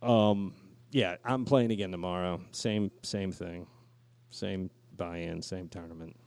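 Low-voiced man swapping stories on a podcast. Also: fairly even intonation, slow, gravelly, a little mellow. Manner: sweary, repeats words for emphasis. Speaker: podcast co-host. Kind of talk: casual podcast banter and storytelling.

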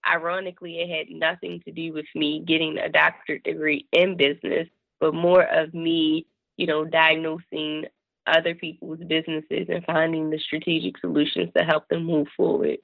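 A woman in her thirties, raspy from a cold, chatting easily as a podcast guest and laughing often. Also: deep voice, little pitch movement, moderate pace, no room sound. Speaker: podcast guest, business consultant. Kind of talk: casual interview talk. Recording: tinny phone-line sound.

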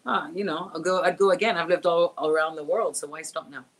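A woman's voice: on the low side, steady tone, a little fast, breathy edge. A woman sportscaster, a guest on a remote interview, talking in an emphatic, reflective way and repeating her key words. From Barbados. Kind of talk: casual interview chat. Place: remote call from home.

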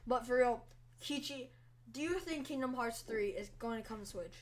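Host, woman, higher voice, giggly banter, steers topics, voice chat. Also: slight background noise. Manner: giggly, teasing, quick topic changes. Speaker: podcast host. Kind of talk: casual group chat podcast. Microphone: online voice chat.

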